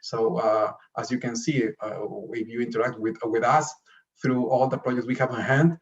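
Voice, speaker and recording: A man giving a slide presentation as a director at an online summit, speaking haltingly and often repeating and rephrasing his words. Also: medium pitch, fairly even intonation. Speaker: investment promotion director presenting. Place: remote online video call. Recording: dull, lo-fi video call audio.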